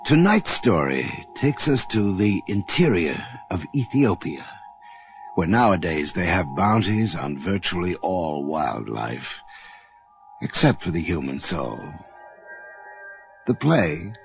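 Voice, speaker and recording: Deep, slow, ominous horror narrator. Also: male voice, sing-song, vocal fry, exaggerated voice. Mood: ominous calm. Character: ominous, brooding male horror series narrator. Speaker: host narrator. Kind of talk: dramatic scripted radio narration. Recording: muffled radio broadcast with music.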